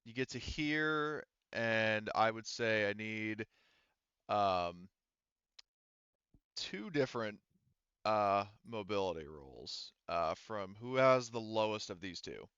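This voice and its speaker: A man working as game master of a casual tabletop game, thinking aloud and explaining rules in a hesitant, halting way. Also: on the low side, lively intonation, fairly bright tone, clean tone, no echo, dull audio.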